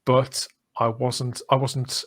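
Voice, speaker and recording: A man's voice: mid-range voice, completely flat delivery, slow pace. A middle-aged man hosting a podcast in a casual, enthusiastic fan discussion, speaking effusively and emphatically in long, discursive turns. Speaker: podcast host.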